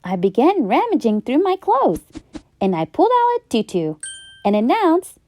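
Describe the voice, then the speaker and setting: Animated, high-voiced woman reading a storybook. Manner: theatrical, emphatic, drawn-out delivery. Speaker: children's storybook read-aloud host. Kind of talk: expressive scripted storytime narration for young children. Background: background music.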